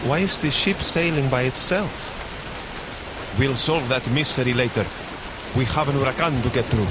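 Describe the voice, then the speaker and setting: A man plays a stern sea captain in a radio drama, his plain as he barks orders in a steady middle-pitched voice. Loud rain and storm roar over everything. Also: moderate pace, noisy background, natural voice. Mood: commanding. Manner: barks orders, slips into Spanish. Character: stern male sea captain, commanding, haunted. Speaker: ship captain.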